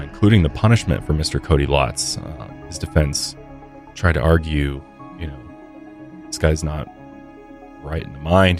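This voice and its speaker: An American man hosts a podcast in a casual, conversational way. His voice sits very low. Faint music plays behind him. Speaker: podcast host. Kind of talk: casual podcast intro and chat. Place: podcast studio.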